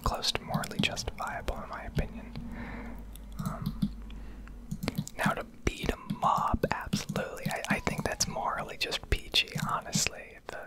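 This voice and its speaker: A young adult woman speaking in a breathy, unvoiced ASMR whisper close to the microphone, rambling in an opinionated, sweary way with hesitant pauses. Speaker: ASMR gaming streamer. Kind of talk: whispered ASMR rambling rant over gameplay.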